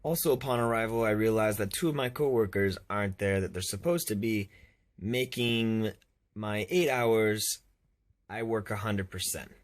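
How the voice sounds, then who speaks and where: A man's voice: lowish voice, normal speed. A young man telling a casual vlog story in an exasperated, self-deprecating way. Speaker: vlogger.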